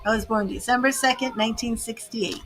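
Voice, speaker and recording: An American woman in her fifties hosting a live tarot reading, chatty and casual, laughing often and filling pauses with "um". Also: on the high side, steady tone, faint music behind. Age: 50s. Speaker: tarot reader hosting a livestream. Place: home with grandchildren and birds in earshot.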